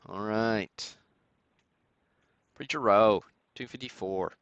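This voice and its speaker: A man hosting a live stream, giving matter-of-fact commentary in a halting way with pauses. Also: lowish voice, fairly bright tone, clear, resonant tone, no room sound, highs cut off. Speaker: host of a sports card break stream. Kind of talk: live show-and-tell commentary on vintage cards.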